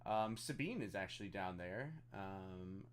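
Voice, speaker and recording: A man's voice: deep voice, lively intonation, a little slow. A man chatting casually and self-deprecatingly as he hosts a live stream over voice chat. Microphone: voice chat on a computer.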